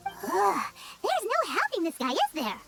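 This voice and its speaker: An extremely high, squeaky fairy girl, cheeky and perky like a small child. Her pitch leaps wildly as she chatters in video game style, with clear music behind her. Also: huge pitch swings, measured pace, a bit bright, heavily acted. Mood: exasperated, cheeky. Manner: perky, exclamatory, refers to herself by name.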